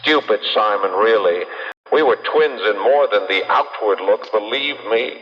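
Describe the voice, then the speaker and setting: An actor playing the mocking ghost of a crooked twin brother in an old radio drama, suave, taunting and theatrical. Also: male voice, lowish voice, unhurried, natural voice. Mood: smug, sinister. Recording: muffled old radio broadcast, long reverb, music.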